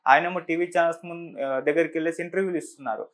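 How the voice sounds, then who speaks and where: A man's voice: fairly high pitch, steady tone, very fast. A man with a Telugu accent hosting a conversational news interview, putting questions in a deferential, respectful way. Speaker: interviewer, host.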